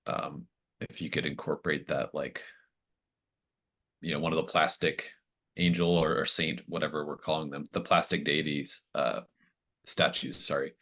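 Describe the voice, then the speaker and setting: A man with a deep voice acts as game master. He talks casually and encouragingly, in an easygoing way, steering players through their ideas. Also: level tone, moderate pace, a bit bright.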